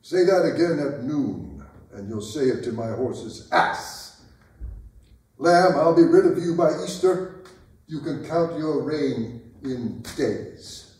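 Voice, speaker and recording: Man acting a mellow, proud aging king, echoey. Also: medium pitch, lively intonation, slow. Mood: defiant. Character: proud, aging king, defiant and wry husband. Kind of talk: theatrical staged dramatic reading. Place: arts center stage, recorded from afar. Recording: very echoey hall, distant mic.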